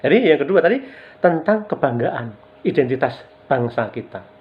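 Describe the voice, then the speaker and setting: A middle-aged man from Java with a Javanese accent, giving a recorded university lecture in a measured way, stretching key words and often addressing his students directly. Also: on the high side, very animated. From Java, Indonesia. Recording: dull lo-fi recording.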